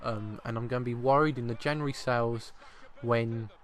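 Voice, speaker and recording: Chatty, slightly croaky man. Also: medium pitch, steady tone, moderate pace. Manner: chatty, often repeats his first words. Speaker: gaming channel host. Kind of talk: casual football video game commentary.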